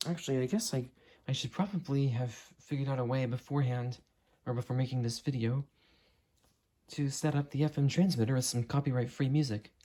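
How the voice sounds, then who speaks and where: A young man with a mellow, slightly husky voice. He talks casually through a hands-on demo at his desk, halting now and then and keeping things understated. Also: medium pitch. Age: late teens, young adult. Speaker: hobbyist reviewer. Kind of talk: casual unscripted product demo. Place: indoor room at a desk.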